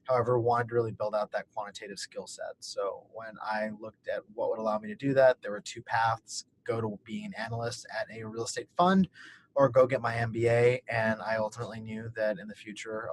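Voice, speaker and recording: A man's voice: on the low side, a little hiss or hum, poor audio. Upbeat young man from Kentucky. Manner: upbeat, storytelling, laughs often. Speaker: MBA student panelist. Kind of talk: casual webinar chat.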